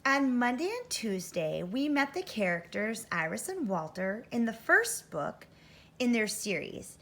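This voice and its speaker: A woman's voice: fairly high pitch, big pitch swings, unhurried, fairly bright tone. A woman teaching first grade, giving an interactive read-aloud for children, thinking aloud expressively and addressing young listeners directly.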